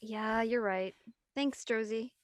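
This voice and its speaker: A woman's voice: on the high side, fairly even intonation, slow pace, dry recording. A woman playing a stressed, studious, apologetic teenage schoolgirl in a drama read, speaking earnestly. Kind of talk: audio drama table read acting.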